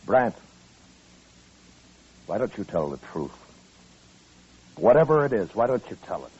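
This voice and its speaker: A level-headed Old West lawman with a deep, husky American voice. He speaks with stern authority and questions firmly. The sound is a muffled vintage radio drama recording. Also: sing-song, a little slow, a little mellow, heavily acted. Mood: stern, pressing. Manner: firm, probing, authoritative. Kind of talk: 1950s radio western acting.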